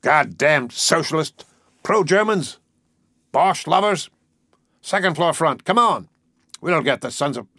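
A man voicing rowdy, hostile drunken soldiers in a story, with a loud, jeering, slangy manner. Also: on the high side, big pitch swings, takes it slowly, pauses often, no room sound, clean studio sound, voice pushed up, exaggerated voice. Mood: furious.

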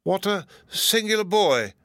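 A man's voice: high voice, expressive, unhurried, fairly bright tone, dry recording, clean studio sound, voice pushed up, full character voice. A man voicing a pompous, patronising Lord Chancellor in a stately, wondering manner.

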